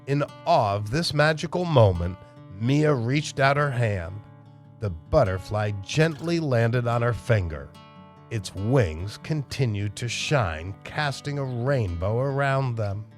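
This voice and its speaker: A man reading aloud as a children's storytime host, animated and emphatic as he addresses young listeners. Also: mid-range voice, takes it slowly, soft background music. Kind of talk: expressive children's read-aloud narration.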